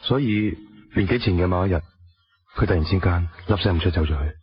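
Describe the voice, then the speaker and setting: Male actor, Hong Kong accent, lowish pitch, weary wounded husband, earnest radio-drama delivery, muffled recording. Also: a little fast, lightly acted. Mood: reflective, rueful. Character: weary estranged husband, taxi driver, earnest but wounded. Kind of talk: radio drama acting, with dialogue and reflective narration. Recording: dull lo-fi radio drama audio.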